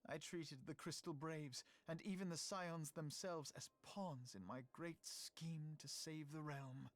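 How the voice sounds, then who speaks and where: A man's voice: a little high, steady tone, unhurried, no room sound, natural voice. An actor playing a once-proud teenage male elf noble in a fantasy game, with formal archaic diction and bitter self-reproach. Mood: bitter remorse. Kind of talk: dramatic fantasy game voice acting.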